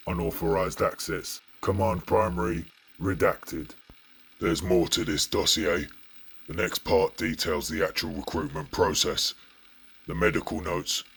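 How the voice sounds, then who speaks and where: A man narrates scripted analog horror in an extremely deep register. His voice is very husky and rough, with a gravelly grain. He speaks deliberately, with a grave, ominous delivery. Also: fairly bright tone.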